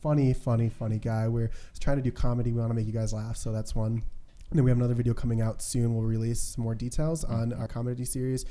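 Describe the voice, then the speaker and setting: A young American man hosts a casual podcast. His voice is very dark and relaxed in tone, at a middling pitch. He talks at a quick pace in a loose, conversational way. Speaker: podcast host.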